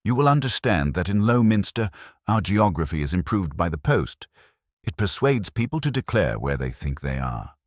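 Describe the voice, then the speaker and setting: A man's voice: deep voice, big pitch swings, moderate pace, no room sound, studio quality. A man voicing a prim, officious village postmistress in a storybook mystery, speaking with precise formality.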